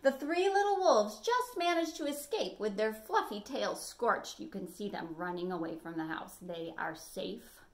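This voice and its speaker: An American woman in her forties reading a picture book expressively as a storytime host, phrasing clearly for children. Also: mid-range voice, lively intonation, a little slow, hardly any pauses. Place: small living room at home, indoors.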